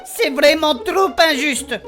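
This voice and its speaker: A woman playing an excitable, lovesick, whiny male adventurer who stammers and protests in a comic audio drama. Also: high voice, slow pace, music in the background. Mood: indignant. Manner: stammering, protesting, repeats words. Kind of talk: comic audio fiction acting.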